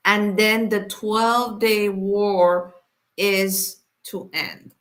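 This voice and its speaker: A woman's voice: medium pitch, fairly even intonation. A woman born in Iran hosting her weekly inspirational live stream, talking to viewers casually with many fillers. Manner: unhurried, many fillers, speaks straight to viewers.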